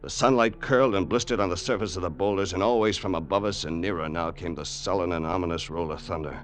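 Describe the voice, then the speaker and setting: A man's voice: deep voice, fast, a little hiss or hum, natural voice. An actor playing a male outlaw in an old-time radio suspense drama, narrating grimly and deferring to his boss. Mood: grim, measured. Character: male outlaw gang member narrating a desert treasure hunt.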